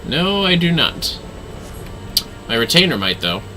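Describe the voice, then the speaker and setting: A man with a very bright, forceful voice does casual gameplay commentary over music. His pitch jumps around in a lively way as he mutters and exclaims to himself. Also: measured pace, rough recording. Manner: animated, talks to himself. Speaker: livestreamer.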